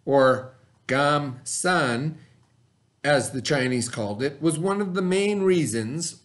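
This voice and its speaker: A man teaching through a read-aloud, pausing to explain things and put questions to his listeners. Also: a little high.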